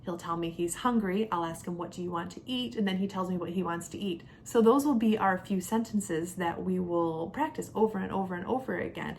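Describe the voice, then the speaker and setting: A woman's voice: fairly low pitch, a little fast, hardly any pauses, darkish tone, a little hiss or hum. A young adult woman talking casually to camera in a product review, chatty and conversational, leaning on key words. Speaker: homeschooling mother and vlogger.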